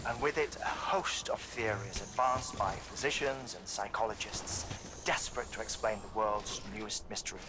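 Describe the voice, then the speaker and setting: Guy playing brainy middle-aged scientist in a game cutscene, wry n formal, lowish voice, clear bg noise. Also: lightly acted. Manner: measured, articulate, halting and formal. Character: erudite, wry middle-aged male scientist, afflicted and musing. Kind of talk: dramatic game cutscene monologue.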